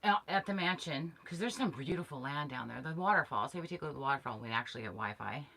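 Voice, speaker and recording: Chatty low-voiced woman, animated and bright. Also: fast, creaky. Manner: chatty, laughs often, animated storytelling. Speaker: co-host of a camping channel live stream. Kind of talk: casual live-stream chat. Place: small insulated camper interior. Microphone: fixed camera framing two people on a bench.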